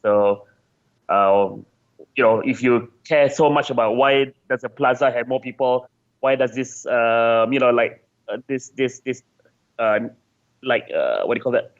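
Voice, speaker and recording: Male interviewee, game designer. Mid-pitched, chatty and casual, searches for words with repeats. Slightly muffled, band-limited recording. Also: a little slow.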